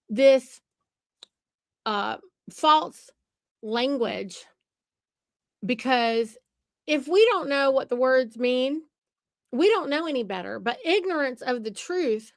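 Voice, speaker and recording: A woman's voice: on the high side, no room sound, clean studio sound. A woman hosting a podcast conversation, talking in an emphatic, lecturing way. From United States. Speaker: podcast host.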